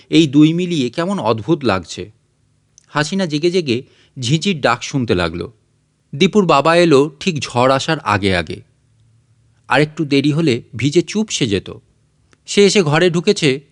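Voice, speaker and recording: A man reading a literary short story as scripted audiobook narration. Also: fairly high pitch.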